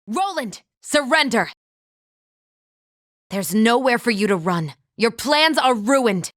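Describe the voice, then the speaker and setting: Urgent, high-voiced commanding knight. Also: female voice, animated, takes it slowly, fairly bright tone, no room sound, studio quality. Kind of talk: dramatic video game voice acting.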